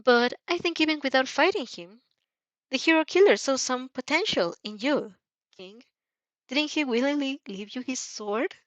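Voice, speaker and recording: A woman voicing a polite, cheerful animal school principal in a fan fiction audio reading, courteous and gently probing, on a slightly dull home recording. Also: high voice, moderate pace, dry recording, pitched above the performer's own voice, natural voice.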